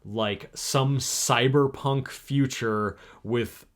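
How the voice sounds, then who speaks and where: A man's voice: mid-range voice, steady tone, drawn-out words. A man co-hosting a casual podcast chat, rambling and hesitant as he searches for his words. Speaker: podcast co-host.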